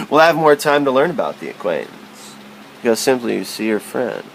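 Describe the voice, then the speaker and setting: A man giving a literary spoken-word recitation in a theatrical, halting, wordy way, stumbling and repeating himself. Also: mid-range voice, very animated, creaky, a little hiss or hum, low-quality recording.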